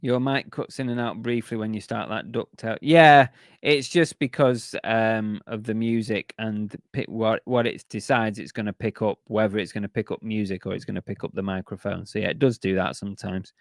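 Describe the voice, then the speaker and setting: A man's voice: lowish voice, fairly even intonation, normal speed, creaky, dry recording, studio quality. A man hosting a casual live stream about reselling, rambling with fillers and restarts while his voice is giving out. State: losing his voice.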